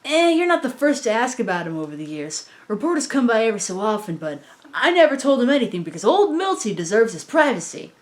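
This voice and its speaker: Woman doing streetwise cartoon guy, high voice, casual but guarded, lively. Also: fast. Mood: resigned. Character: streetwise adult man, guarded old friend with a secret.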